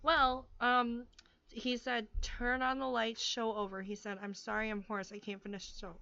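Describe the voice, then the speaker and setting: A woman's voice: a little high, flat delivery, normal speed, creaky, sharp tone, lo-fi sound. A woman co-hosting a casual chat show, laid-back, often answering with short agreeing words. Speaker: podcast co-host.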